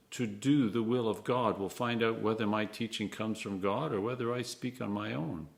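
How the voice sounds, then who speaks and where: Man, clergyman, scripture reading, mid-pitched voice, lively intonation, measured phrasing, emphatic stresses.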